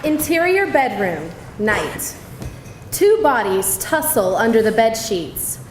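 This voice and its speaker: A woman's voice: on the high side, sing-song, slow pace, a crowd in the background. A woman reading scripted stage directions as narrator at a live table read. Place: indoor venue, live reading. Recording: echoey room, faint noise.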